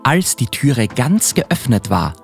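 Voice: mid-range voice, sing-song, slow pace, vocal fry, soft background music. A narrator reading scripted storybook narration for a Christmas radio play.

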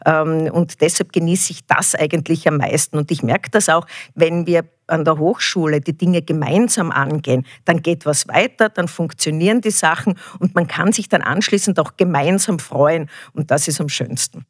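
A woman's voice: low-pitched, normal speed, hardly any pauses, no room sound. Middle-aged Austrian woman, dry close sound. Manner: encouraging, praising. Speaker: university rector, interview guest. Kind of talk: interview answers addressed to children.

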